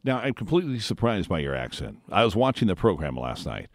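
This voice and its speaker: A man's voice: sits low, sing-song, fast talker, no room sound, studio quality. A middle-aged man hosting a morning radio show, rambling and stumbling through questions. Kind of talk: radio interview chat. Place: radio studio.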